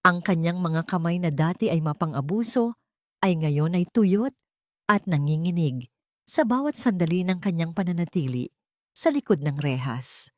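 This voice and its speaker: A woman narrating a scripted romantic drama story in a deliberate, sentimental way. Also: on the low side, expressive, no room sound.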